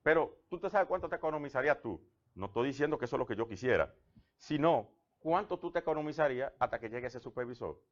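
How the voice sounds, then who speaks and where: A man's voice: medium pitch, lively intonation, fast, highs cut off. A Dominican man with a Dominican Spanish accent, a guest on a TV interview who tells personal anecdotes with frequent restarts. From Dominican Republic. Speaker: guest, government labor official. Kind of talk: conversational TV interview.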